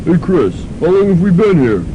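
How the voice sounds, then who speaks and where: A man playing a gruff, irritable young college athlete in an amateur radio drama. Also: very animated, normal speed, muffled recording. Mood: grumbling. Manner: sarcastic, combative. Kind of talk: student radio drama acting. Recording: noisy, rumbling amateur radio recording.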